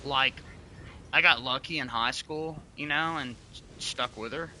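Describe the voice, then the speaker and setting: Bright-voiced young man streaming. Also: medium pitch, a little slow, slight background noise, poor audio. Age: 20s. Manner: relaxed, swears freely, chats to viewers. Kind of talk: casual livestream chat.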